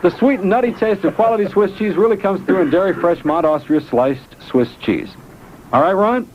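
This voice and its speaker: A man's voice: high voice, moderate pace. A man hosting a radio call-in show with casual, wisecracking banter and live ad reads, on an old AM broadcast recording. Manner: joking, wisecracking. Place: radio studio. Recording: dull lo-fi AM radio recording, faint noise.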